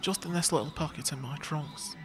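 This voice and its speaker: Guy doing a naive keen schoolboy sidekick, bit high, amateur audio play, guileless. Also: fairly quick, a bit bright, faint music behind, close to an everyday voice. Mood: eager. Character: Dodge, naive, eager, slow-witted schoolboy sidekick. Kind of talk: amateur audio drama acting.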